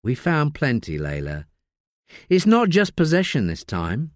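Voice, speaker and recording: A man voicing a brisk, firm woman police detective with a clipped manner. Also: a little high, huge pitch swings, no echo, clean studio sound, pitched above the performer's own voice.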